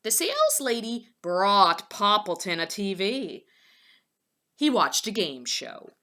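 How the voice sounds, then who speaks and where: Female children's read-aloud host: very bright tone, unhurried pace, expressive, chatty asides to young listeners. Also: mid-range voice. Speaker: storybook reader for children.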